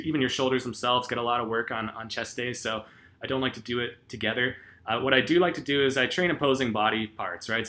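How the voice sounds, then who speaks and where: A man's voice: medium pitch, sharp tone. A man answering viewer questions in a casual vlog, rambling with lots of ums and uhs, laid-back and self-deprecating.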